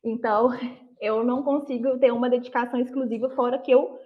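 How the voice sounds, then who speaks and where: Female interviewee, 30s, Minas Gerais Brazilian accent, higher pitch, conversational and explanatory, thin band-limited call sound. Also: steady tone, brisk, narrow, tinny audio. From Belo Horizonte, Brazil. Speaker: interview guest, lawyer who passed a prosecutor exam.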